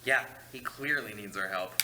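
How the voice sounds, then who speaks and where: A man's voice: lowish voice, normal speed, background noise, lightly acted. A man reading the part of a sarcastic, irritable dead man at a screenplay table read. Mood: irritated. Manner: snarky, exasperated.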